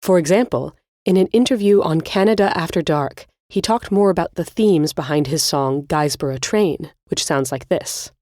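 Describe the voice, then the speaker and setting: A woman's voice: low-pitched, normal speed, few pauses, dark tone. A woman hosting a podcast, narrating scripted music history in an articulate, explanatory way. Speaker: podcast host.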